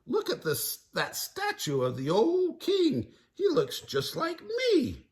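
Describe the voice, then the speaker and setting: A man voicing a goofy, jittery, always-hungry young man in a high, squeaky voice that keeps saying "like". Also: wildly swooping pitch, slow, exaggerated voice.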